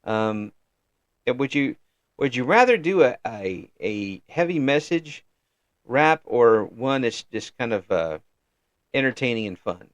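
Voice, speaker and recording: A middle-aged man hosting an interview in a casual, chatty way, often restarting sentences and answering with quick yeses. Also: mid-range voice, big pitch swings, no room sound. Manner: chatty, frequent restarts and affirming "yeah"s. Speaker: interview host.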